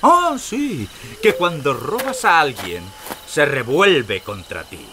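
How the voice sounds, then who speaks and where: An actor playing a gloating sheriff, taunting and menacing in a theatrical audio drama. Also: male voice, a little high, very animated, slow pace, noisy background. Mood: mocking. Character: gloating, sneering villain sheriff hunting outlaws. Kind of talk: dramatized audio fiction acting.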